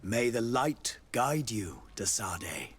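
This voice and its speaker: Solemn game cardinal, husky, very formal and pious, pitch moves a lot. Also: male voice, sing-song, takes it slowly, fairly bright tone, heavily acted. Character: solemn cardinal ambassador, pious, dignified zealot. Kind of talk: scripted video game dialogue.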